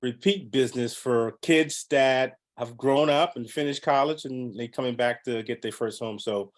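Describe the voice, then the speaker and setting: A man's voice: mid-range voice, normal speed. A man from the Bahamas, a realtor and podcast guest, talking casually in an upbeat, rambling way with many fillers. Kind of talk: casual interview talk.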